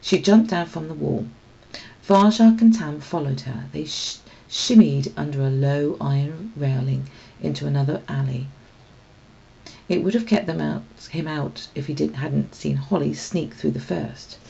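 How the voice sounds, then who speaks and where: Low, creaky woman storyteller. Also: unhurried, mellow, muffled recording. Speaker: storybook reader. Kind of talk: expressive children's story narration.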